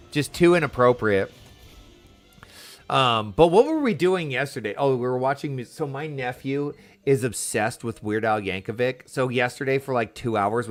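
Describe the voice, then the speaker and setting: A man chatting casually and animatedly as he streams a video game, rambling from thought to thought. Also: medium pitch. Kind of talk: casual livestream chat over gameplay.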